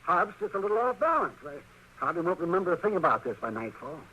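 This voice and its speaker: Through the hiss of an old radio western comes a crotchety older town doctor. His voice is bright and jumps up and down animatedly, with a folksy, flustered, scoffing manner. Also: sits high, sing-song, quick pace, full character voice. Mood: dismissive. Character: crotchety, dismissive frontier town doctor, a man. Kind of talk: 1950s radio western acting. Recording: noisy old radio recording.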